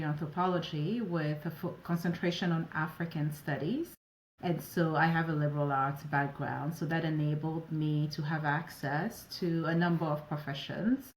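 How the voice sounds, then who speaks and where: A woman in her forties whose first language is French, from Ivory Coast and Ghana, telling stories lively as an interview guest. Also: low-pitched, slight background noise. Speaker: guest, operations advisor. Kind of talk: casual interview answers. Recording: echoey room.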